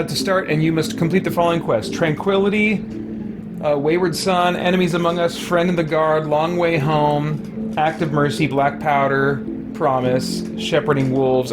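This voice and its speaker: A man streaming a game, chatting casually and thinking aloud in a halting, musing way. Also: fairly high pitch. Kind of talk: casual live gaming stream chat.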